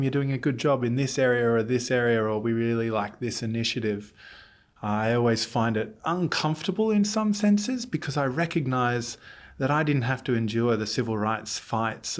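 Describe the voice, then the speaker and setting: A man from Australia, a committee chair interviewing a guest, speaking in an earnest, measured way with occasional restarts. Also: medium pitch. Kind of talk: conversational interview.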